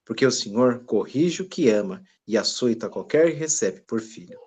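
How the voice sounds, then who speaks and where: A man's voice: medium pitch, lively intonation, normal speed, darkish tone, slightly rough. A man taking part in an online church study, reading a Bible passage aloud, stumbling and restarting words and apologizing for it, from a distance on a video call. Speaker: study participant reading scripture.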